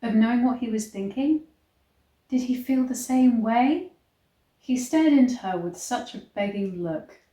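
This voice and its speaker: A woman's voice: on the high side, unhurried, warm tone. Echoey woman reading a story dramatically. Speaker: host reading a story aloud. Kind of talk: dramatic read-aloud of a romance story. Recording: echoey, roomy sound.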